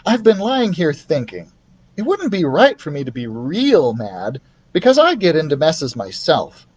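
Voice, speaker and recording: Man as an earnest young boy, lightly raised pitch. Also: wildly swooping pitch, unhurried, breathy edge, dull audio, heavily acted. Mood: candid. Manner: earnest, confiding. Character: friendly, candid young boy, impatient to grow up.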